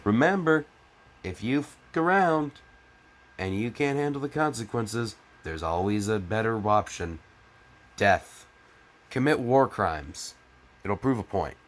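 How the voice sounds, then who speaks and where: A man's voice: lowish voice, animated, measured pace, pauses often. A man giving a mocking dramatic reading, breaking off into crude, exasperated, sarcastic commentary. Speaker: comedic fan fiction reader.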